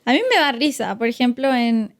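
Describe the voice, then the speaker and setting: Reflective Ecuadorian woman, fairly high voice. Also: moderate pace, slight background noise. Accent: Ecuadorian Spanish. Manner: reflective, many fillers and restarts. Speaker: podcast guest. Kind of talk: casual podcast conversation.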